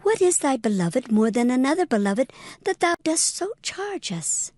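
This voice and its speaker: Questioning female companion in biblical drama: soft dark tone, very lively melodic swings. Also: sits high, moderate pace, dry recording. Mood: curious, challenging. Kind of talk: dramatized scripture reading.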